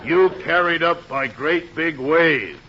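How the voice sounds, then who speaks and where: An actor playing a deadpan island native who speaks halting broken English in 1940s radio comedy style, with a gravelly voice. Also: male voice, a little high, crowd noise. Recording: muffled, hissy old radio recording.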